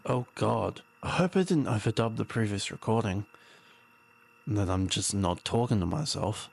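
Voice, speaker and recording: A man's voice: fairly low pitch, moderate pace, gravelly, background noise. A man playing a haunted, paranoid conspiracy theorist, rambling uneasily and confidingly in an audio drama taped diary. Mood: uneasy, defensive.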